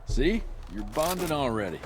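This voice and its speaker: An actor playing a tough, cocky younger vampire hunter in a video game, with a sardonic, curt manner. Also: male voice, medium pitch, very animated, moderate pace, lightly acted. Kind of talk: video game character acting. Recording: loud music and noise behind.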